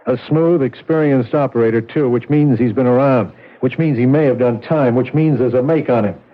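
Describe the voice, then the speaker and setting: Actor playing a dogged, blunt police lieutenant, old radio drama, tinny sound. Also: male voice, medium pitch, moderate pace, close to an everyday voice. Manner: blunt, matter-of-fact questioning. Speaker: police detective. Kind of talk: 1970s radio drama acting.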